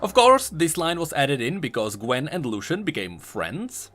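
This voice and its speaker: Animated, bright-voiced man commenting on game lore. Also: fairly high pitch, moderate pace, a little hiss or hum. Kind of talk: scripted commentary. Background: background music.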